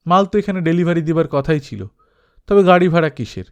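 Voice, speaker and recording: A man's voice: fairly high pitch, lively intonation, brisk, darkish tone, voice pushed up. A man voicing an indignant, unyielding company office man in a story, arguing with disbelief. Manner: incredulous, argumentative.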